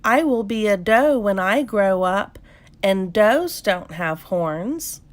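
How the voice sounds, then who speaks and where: A woman's voice: mid-range voice, lively intonation, slow. A woman voicing a young female fawn in a storybook, kindly and sensible, with a lilting, reassuring manner.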